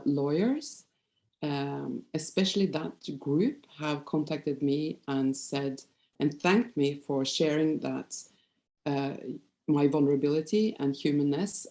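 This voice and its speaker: Low, mellow Norwegian woman, halting delivery. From Norway. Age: 50s. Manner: hesitant, halting, candid. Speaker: psychologist giving a presentation. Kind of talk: informal conference presentation with personal storytelling.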